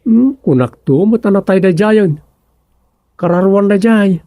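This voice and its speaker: A man's voice: sits high, unhurried, mellow, dry recording. An actor playing an earnest younger man in a comedic radio drama, explaining things insistently to his old father. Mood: concerned.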